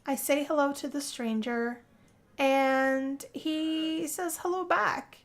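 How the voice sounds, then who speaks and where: A woman telling a first-person role-play story as game narration, theatrical, with drawn-out phrases and pauses. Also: high voice, steady tone. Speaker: gameplay narrator.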